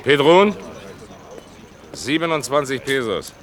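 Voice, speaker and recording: An actor playing a brusque, impatient paymaster calling out names and wages in a German radio play. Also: male voice, mid-range voice, very animated, takes it slowly, fairly bright tone. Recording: outdoor street noise behind.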